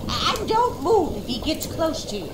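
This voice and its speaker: A woman voicing a gentle, motherly video game character who draws words out in a sing-song way. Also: high voice, big pitch swings, measured pace, roomy sound, heavy background noise. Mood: gentle, eerie.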